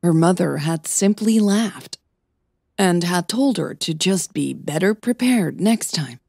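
A woman's voice: fairly low pitch, animated, slow, vocal fry, dark tone, no room sound, studio quality. A woman reading scripted fiction as the narrator of an audio drama podcast.

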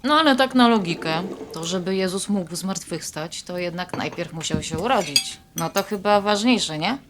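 An actress playing a practical, outspoken middle-aged wife in a naturalistic audio drama. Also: female voice, on the low side, lively intonation, moderate pace, natural voice. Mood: frank. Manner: down-to-earth, frank. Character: practical, outspoken wife hosting a family holiday meal. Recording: noisy background of cutlery and dishes.

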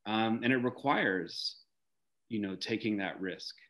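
A man in his forties answering reflectively as an interview guest on a video call, articulate and measured, pausing to search for words. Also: on the low side, a bit bright. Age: 40s. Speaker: interview guest, theater director. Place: indoor room, video call.

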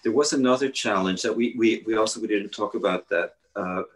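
A man, a guest architect speaking haltingly over a video call from a living room. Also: fairly low pitch. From Switzerland. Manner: halting, many hesitations and restarts. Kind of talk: panel conversation.

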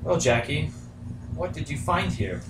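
A man's voice: fairly high pitch, animated. Man as a courteous captain, echoey and distant. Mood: calm, cautious. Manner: measured, courteous, reasoning. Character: brave, diplomatic sea captain rabbit, adult male. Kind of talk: amateur puppet story dialogue. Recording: echoey room, far mic, faint noise.

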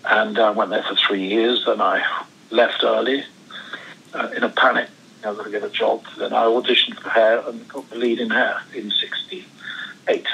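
A man's voice: on the low side, little pitch movement, normal speed, gravelly, bright, forceful tone, slightly rough, rough recording. A man in his seventies, born in Switzerland, telling his life story as an interview guest, rambling with many restarts. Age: 70s. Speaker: guest actor. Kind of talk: casual interview chat.

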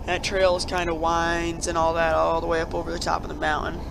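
A man's voice: fairly high pitch, monotone, fairly quick, vocal fry, background noise. A young man with a cold, chatting casually as a channel host and rambling with many fillers and restarts. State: has a cold. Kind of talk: casual video commentary. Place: at home.

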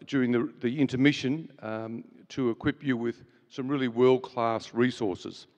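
A man's voice: medium pitch, lo-fi sound. An earnest man welcomes a large audience and leads them in prayer, stumbling now and then over restarts and fillers. His mid-range voice rings through a large hall with a long, lingering reverb. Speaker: event host. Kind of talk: welcome and opening prayer at a church event.

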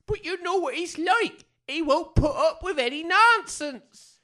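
A woman's voice: very high-pitched, expressive, unhurried, bright, forceful tone, full character voice. A woman playing an exasperated working-class wife and mother with an English accent, scolding in colloquial speech in a comic audio drama. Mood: scornful. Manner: nagging, colloquial.

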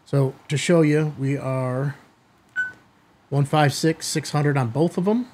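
A middle-aged man hosting a hobby video, giving a product demonstration to camera in a husky voice. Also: on the high side, slow pace, darkish tone, slight background noise. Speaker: host of a ham radio video channel. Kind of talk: product test demo to camera. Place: small indoor studio room.

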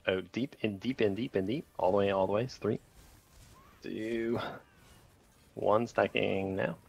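A man leading a raid group over voice chat, calling short commands in rapid repeated bursts with countdowns. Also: on the low side, steady tone, creaky, darkish tone, slightly rough, highs cut off.

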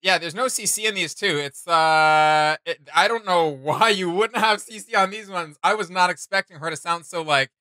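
A man's voice: high-pitched, normal speed, few pauses, pushed and bright, dry recording. A young man hosting a reaction video with casual, conversational commentary. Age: young adult. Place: indoor home studio room.

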